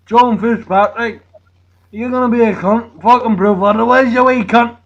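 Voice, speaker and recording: A man's voice: very high voice, steady tone, moderate pace, a bit bright. A man with an Irish accent rambling on a live chat, repeating himself with foul-mouthed, confrontational talk. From Ireland. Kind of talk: rambling, sweary casual chat on a live stream. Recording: dull lo-fi stream audio, faint noise.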